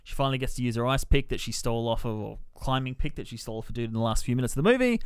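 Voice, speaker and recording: A man's voice: mid-range voice, animated, very fast, clear, resonant tone, no echo, clean studio sound. A man hosting a podcast, giving a casual, sweary, colloquial review of a film. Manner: sweary, colloquial, loosely descriptive.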